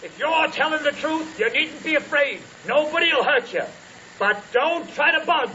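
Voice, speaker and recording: An actor playing a stern, wary Southern patriarch of about sixty in an old radio drama, with commanding, folksy country speech. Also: male voice, very high voice, animated, moderate pace, sharp tone, exaggerated voice. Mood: stern, suspicious. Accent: American Southern. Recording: dull, hissy old radio broadcast.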